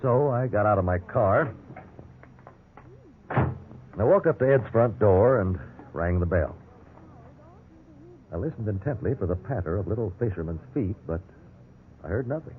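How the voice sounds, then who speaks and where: An earnest, respectable businessman who narrates his own misfortune in a 1950s radio drama. His voice is fairly low and conversational, with a wry edge. The recording is thin and old, with slight hiss. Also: lively intonation, measured pace, pauses often, narrow, tinny audio. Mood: wry, measured narration.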